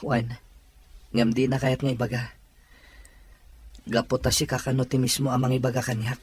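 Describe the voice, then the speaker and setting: A man's voice: medium pitch, little pitch movement, normal speed, husky, lightly acted. A man with an Ilocano Filipino accent playing an anxious, earnest young boyfriend in a radio drama.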